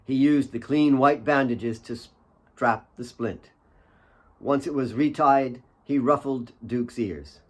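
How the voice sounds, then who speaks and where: A man reading his own fiction as an audiobook, with solemn, deliberate dramatic narration. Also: mid-range voice.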